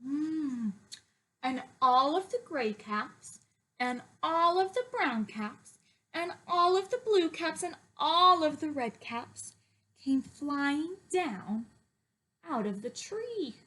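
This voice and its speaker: A woman teacher reading a picture book to young children in an expressive, sing-song, emphatic way and putting questions to them. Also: high-pitched, takes it slowly, poor audio.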